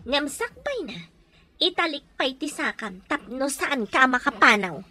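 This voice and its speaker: Woman acting very high-pitched, bossy villainess. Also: big pitch swings, measured pace, pushed and bright, faint music behind, heavily acted. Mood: bossy, menacing. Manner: scornful, commanding. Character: cruel, bossy female villain holding a captive. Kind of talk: Ilocano radio drama acting.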